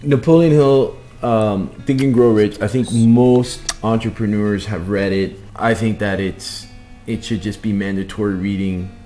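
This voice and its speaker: A man's voice: on the low side, fairly even intonation, a little slow, warm tone, soft background music. A middle-aged man hosting a casual vlog chat, emphatic and often restating his points. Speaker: host of a couple's book-review vlog.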